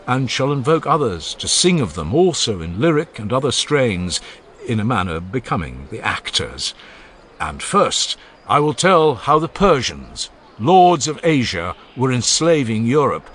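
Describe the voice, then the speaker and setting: Male audiobook narrator, lower register, animated oratorical delivery for a solemn classical speech. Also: slight background noise. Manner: solemn, declaiming, oratorical phrasing. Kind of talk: dramatized audiobook reading of a classical oration.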